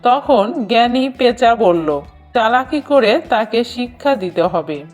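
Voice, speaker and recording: A woman narrating a children's cartoon story in a measured, expressive storytelling manner. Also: a little high. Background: background music.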